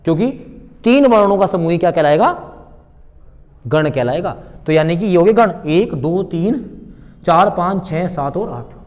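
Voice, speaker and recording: A man's voice: sits high, lively intonation, measured pace, pauses often, darkish tone, big-room sound. A male teacher giving an exam-prep lesson. He asks a question, repeats it, then answers it himself, and calls his students beta. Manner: addresses students fondly as beta, bachche.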